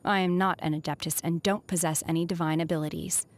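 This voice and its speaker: A woman playing a reserved, solemn young exorcist disciple in a video game, speaking in a measured, formal way. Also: lowish voice, fairly even intonation, lightly acted. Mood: composed, solemn. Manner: measured, formal, restrained delivery. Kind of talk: scripted video game character voice lines. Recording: music behind the voice. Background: music.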